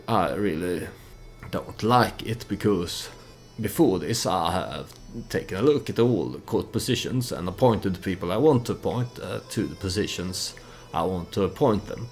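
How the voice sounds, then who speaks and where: A man's voice: low-pitched, lively intonation, normal speed, soft background music. A man who is not a native English speaker gives casual gameplay commentary with storytelling, in a hesitant, meandering manner. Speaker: let's play host.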